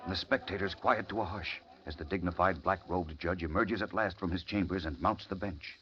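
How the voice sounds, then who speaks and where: A man's voice: sits low, brisk, close to an everyday voice. A man narrating an old radio serial in a dramatic, urgent manner. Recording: muffled, noisy old radio recording.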